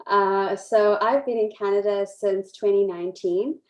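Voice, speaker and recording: A woman's voice: medium pitch, fairly even intonation, measured pace, a little mellow. Woman in an echoey room. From South Africa. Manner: hesitant, many uhs. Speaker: public servant, panelist. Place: room in Ottawa.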